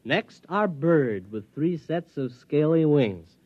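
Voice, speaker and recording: A whimsical, boyish hunter in a vintage radio comedy. He is high-voiced and unhurried, earnest and naive, on a dull old recording. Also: expressive, no room sound. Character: excitable, naive, whimsical man on a hunting vacation. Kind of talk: 1950s radio comedy acting.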